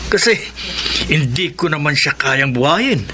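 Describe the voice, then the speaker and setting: A man's voice: on the high side, expressive, measured pace. A man with a Filipino accent playing a sweet-talking, evasive bachelor lover in a radio drama. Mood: wooing, coaxing. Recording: clearly noisy background.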